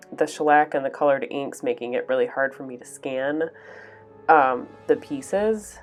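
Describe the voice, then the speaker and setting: Brief: young female art vlogger, low voice, stuffy nasal cold, chatty and rambling, soft music under her. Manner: chatty, many ums and small laughs. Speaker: art channel host. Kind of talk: casual voice-over while painting.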